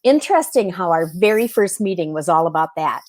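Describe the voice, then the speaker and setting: A woman with a slightly low voice talks as a guest in a conversational interview. She is reflective and earnest, explaining her thoughts carefully. The sound is dry, with very little room in it. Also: animated, fairly quick, a little mellow, dry recording.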